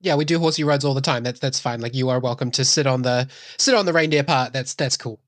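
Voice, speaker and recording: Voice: medium pitch, quick pace. A man with a New Zealand accent talking casually and jokingly as a tabletop role-playing player. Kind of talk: casual game talk, joking.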